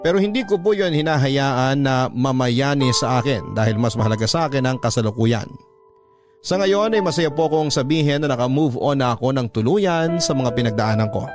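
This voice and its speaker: Filipino male radio storyteller over music. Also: medium pitch. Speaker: radio host reading listener letters. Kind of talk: dramatic scripted letter narration, classic radio storytelling. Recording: voice over a clear music bed.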